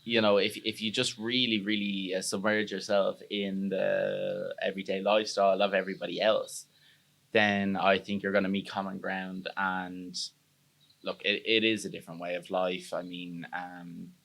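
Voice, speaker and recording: A young man with an Irish accent and a deep, low voice. He talks earnestly in a loose, rambling way and often restarts his sentences. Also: little pitch movement, normal speed, creaky, a bit bright. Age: young adult. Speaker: podcast guest. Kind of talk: casual interview chat.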